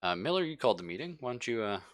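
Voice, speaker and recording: A man's voice: medium pitch, very animated, very fast, no room sound. A man casually narrating a tabletop sci-fi horror game as game master, informal and jokey.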